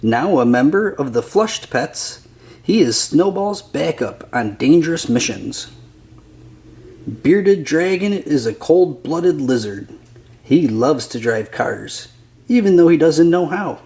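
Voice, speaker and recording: Guy reading a kids book out loud, really slow, voice goes up and down a lot. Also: fairly high pitch, lively intonation. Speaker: children's storybook narrator. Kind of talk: scripted read-aloud narration.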